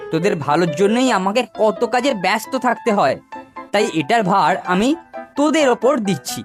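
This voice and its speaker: A woman's voice: mid-range voice, natural voice. A woman voicing a sly, boastful, bossy older schoolboy in a cartoon, with a wheedling way of talking. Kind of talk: cartoon voice acting.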